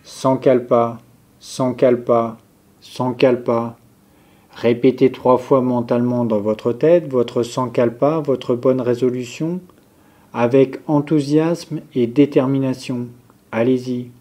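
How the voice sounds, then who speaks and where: A man leads a guided relaxation as a yoga nidra instructor. His voice is soft-edged and mellow, at a medium pitch. He speaks very slowly and calmly, setting out each instruction in measured steps. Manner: calm, measured, instructive, listing step by step.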